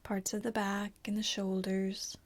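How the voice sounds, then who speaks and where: Soft, unhurried woman guiding meditation. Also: medium pitch. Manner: calm, soothing, unhurried with long pauses. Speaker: meditation teacher. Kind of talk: guided body scan meditation.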